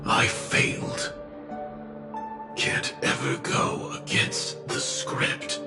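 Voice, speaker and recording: An actor playing a weary, fatalistic hunter in a dramatic video game, with a grave, halting delivery. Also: male voice. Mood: grim, resigned. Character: weary, fatalistic male hunter bound to a script. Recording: echoey, music underneath. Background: music.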